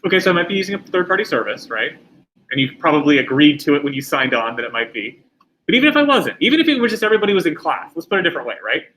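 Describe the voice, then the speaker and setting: A man's voice: a little high, very animated, racing, bright, forceful tone, low-quality recording. A middle-aged man teaching a class, lecturing in a conversational way and putting questions to the students.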